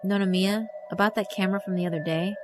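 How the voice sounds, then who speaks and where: A woman's voice: medium pitch, fairly even intonation, quick pace, lightly acted. A woman voicing a curious student club friend in a visual novel, casual and inquisitive. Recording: music behind the voice.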